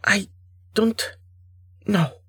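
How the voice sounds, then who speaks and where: A man voicing a frightened, wounded young woman mage in an audiobook, with a strained, pleading, halting small voice. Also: high-pitched, bright, forceful tone, no room sound, pitched above the performer's own voice, heavily acted. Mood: dazed, halting. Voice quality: croaky, strained. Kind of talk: audiobook character acting.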